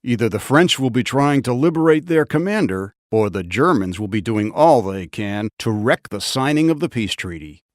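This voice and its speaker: An actor playing a grave, resolute army officer in a war game, giving a scripted briefing in a deliberate, commanding way. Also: male voice, mid-range voice, expressive, no echo, clean studio sound, close to an everyday voice. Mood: grave, wary. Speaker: military commander.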